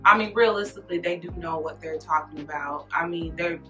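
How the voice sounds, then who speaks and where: A woman's voice: lowish voice, brisk, pushed and bright, faint music behind. A woman in her twenties, a guest on a casual chat show, talking earnestly with restarts then firm emphasis. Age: 20s.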